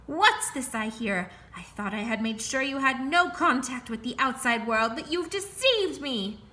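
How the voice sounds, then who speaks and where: A woman voicing a cruel old sorceress who speaks slowly and menacingly, with loud cries. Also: high-pitched, animated, full character voice. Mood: furious.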